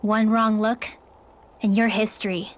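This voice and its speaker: A woman's voice: mid-range voice, flat delivery, measured pace, close to an everyday voice. A young woman voicing a plucky, candid girl guide in a video game. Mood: frank, cautioning.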